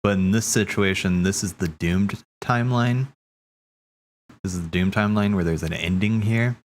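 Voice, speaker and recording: A man streaming casual, rambling theory commentary, thinking aloud haltingly, correcting himself and swearing casually. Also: sits low, slight background noise.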